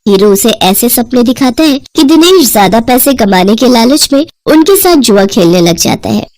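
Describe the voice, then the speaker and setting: A woman tells a moral story from a script. Her voice is notably high, and she speaks as a storyteller narrating events. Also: expressive, normal speed, a little mellow, dry recording.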